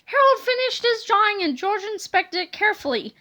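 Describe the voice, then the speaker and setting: A woman narrating a children's book aloud in an expressive, dramatic way. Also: very high-pitched, fairly quick.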